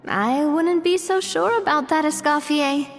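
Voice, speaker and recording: A woman's voice: sits high, unhurried. A woman voicing a confident young female investigator in a video game, encouraging and persuasive. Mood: reassuring. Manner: reassuring, expressive. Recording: long reverb with music behind.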